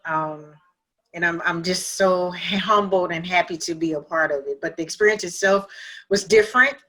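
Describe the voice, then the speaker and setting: A woman answering casually as an actor on a video-call panel, in a halting, reflective manner. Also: fairly low pitch, a bit bright.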